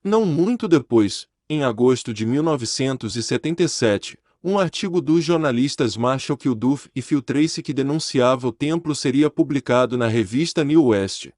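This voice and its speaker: A man narrating a documentary in a measured, serious tone. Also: medium pitch, lively intonation, a little mellow, no room sound, studio quality. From Brazil.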